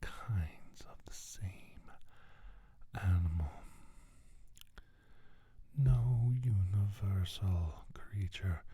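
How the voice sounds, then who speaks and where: A man playing a menacing, smug alien scientist in a soft-spoken ASMR roleplay, talking in a deliberate, condescending way. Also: sits low, slightly rough, exaggerated voice. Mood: coolly clinical.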